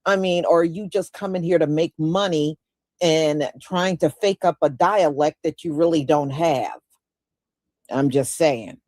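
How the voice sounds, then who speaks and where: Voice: mid-range voice, a little slow, a little mellow, no echo, studio quality. A show host giving casual commentary and telling folksy stories with conversational asides.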